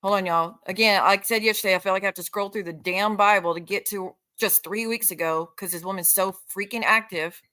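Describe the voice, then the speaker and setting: A woman's voice: mid-range voice, fairly even intonation, brisk, hardly any pauses, pushed and bright. A woman streamer ranting off the cuff to her viewers, confrontational and sarcastic, swearing heavily. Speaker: online streamer addressing viewers. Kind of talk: unscripted live rant, addressing viewers directly.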